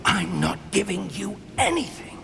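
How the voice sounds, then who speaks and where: An actor playing an earnest, middle-aged wizard professor in a video game, speaking in a measured, thoughtful, formal way. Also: male voice, high-pitched, big pitch swings, creaky, loud music behind the voice, heavily acted. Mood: guarded, firm. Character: earnest scholarly wizard professor, calm and protective. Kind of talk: video game cutscene acting.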